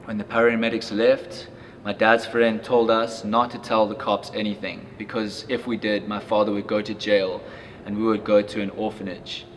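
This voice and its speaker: A young man reading a life story aloud, then reflecting in a halting way with lots of fillers. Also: lowish voice, flat delivery, slight background noise. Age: young adult. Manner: halting, reflective when speaking freely, with many fillers. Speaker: youth reader.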